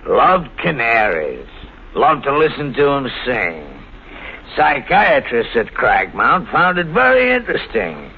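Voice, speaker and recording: Sly blackmailer, slangy, very swooping delivery, old radio thriller, dull recording. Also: male voice, medium pitch, wildly swooping pitch, measured pace, a little hiss or hum. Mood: sly, menacing. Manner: casual, slangy, needling. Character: sly, insinuating stranger, a blackmailing former asylum worker. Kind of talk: 1950s radio thriller acting.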